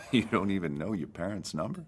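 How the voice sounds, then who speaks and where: Folksy husband, deep voice, quick talker, casual and placating. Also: male voice, big pitch swings, a little hiss or hum. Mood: casually amused. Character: folksy, falsely reassuring husband with hidden intent. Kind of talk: naturalistic audio fiction acting.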